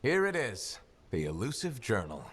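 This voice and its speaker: A wisecracking young freelancer from a video game cutscene. His voice is on the low side but moves around a lot, landing quips with a dry, sarcastic edge. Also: huge pitch swings, normal speed, a bit bright, breathy edge, heavily acted. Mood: wry. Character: wry male freelancer pilot, young adult. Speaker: freelancer, player character.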